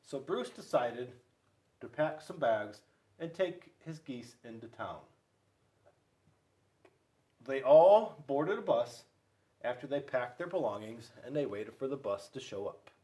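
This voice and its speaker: Slow, lively man reading a storybook. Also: mid-range voice, recorded from a distance. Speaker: school resource police officer reading to children. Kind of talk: storybook read-aloud.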